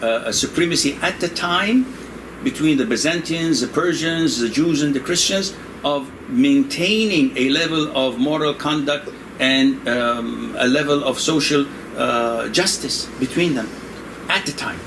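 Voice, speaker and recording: An older man originally from Indonesia, a religious scholar answering questions in a relaxed, joking, digressive way, laughing often. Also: medium pitch, a little slow. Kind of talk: conversational religious discussion. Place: room in Saudi Arabia. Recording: noisy room with air conditioning hum.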